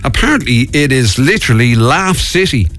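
A man's voice: medium pitch, sing-song, moderate pace, fairly bright tone. A man hosting a morning radio show, chatty and teasing, with plenty of fillers. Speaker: radio host. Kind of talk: casual morning radio banter. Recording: radio broadcast with faint music.

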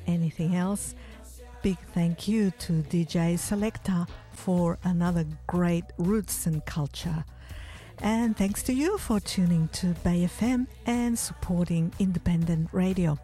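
A woman hosting a community radio show, speaking in a relaxed, unhurried way with occasional fillers. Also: on the low side. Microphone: studio microphone.

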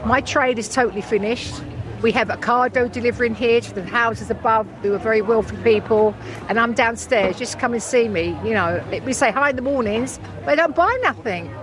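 A woman's voice: fairly high pitch, fairly quick, poor audio. An older woman with a London accent, a market greengrocer, speaking plainly and colloquially in an interview. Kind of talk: spontaneous street interview. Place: outdoor city market street. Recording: busy outdoor street with crowd, traffic.

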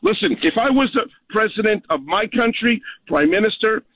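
A man's voice: high voice, lively intonation, normal speed, no echo, muffled recording. A man calling in to a talk radio show, rambling heatedly with many restarts and corrections. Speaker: caller.

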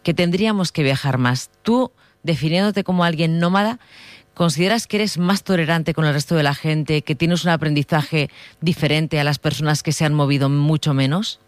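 Radio host, conversational interviewer, mid-pitched, dry close recording, questions formed with some repeated words. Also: moderate pace, no echo.

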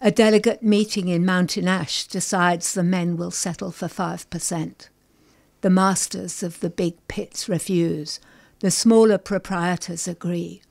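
A middle-aged woman from England, a poet guest on a radio show, reading her poems with deliberate care and talking about her work. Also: fairly low pitch, vocal fry, a little mellow. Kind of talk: poetry reading and interview.

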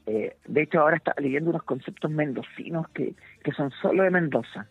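A Chilean man in his fifties, a comedian and guest, chatting in a relaxed, halting way and often repeating words while he searches for them. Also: on the high side, creaky, husky, dry recording. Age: 50s. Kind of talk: relaxed interview chat. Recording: dry tinny phone line.

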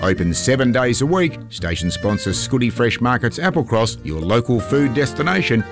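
Male sponsor voice-over, smooth promo read, guitar track clearly under the voice. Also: medium pitch, very animated, moderate pace. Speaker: advertisement voice-over. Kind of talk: scripted radio ad read. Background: guitar music.

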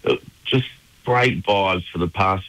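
A man with an Australian accent, a musician guest on a radio show, talking in a relaxed, laid-back way with lots of fillers. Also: low voice, takes it slowly, no echo, muffled recording. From New South Wales, Australia. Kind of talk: casual radio interview.

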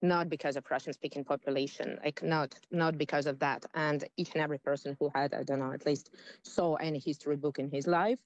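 A woman's voice: low voice, steady tone, fairly quick, no room sound, poor audio. A woman with a Ukrainian accent, a non-native English speaker, giving firm and dismissive opinions as an interview guest. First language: not English. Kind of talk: opinionated live discussion.

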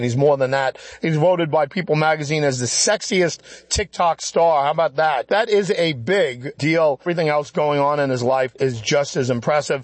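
A male radio host speaks warmly and effusively to his guest. His voice sits a little high, and the sound is dry and close. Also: dry recording. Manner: upbeat, effusive and talkative. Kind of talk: radio interview.

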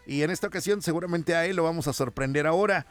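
A man's voice: on the high side, quick pace, soft background music. A Mexican man hosting a radio call-in show, teasing and affectionate with his guests. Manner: playful, teasing and affectionate with callers. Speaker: radio host. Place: radio studio.

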